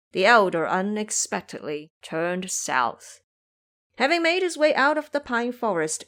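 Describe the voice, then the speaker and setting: A woman narrating a scripted audio drama in an expressive, theatrical storytelling manner. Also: mid-range voice.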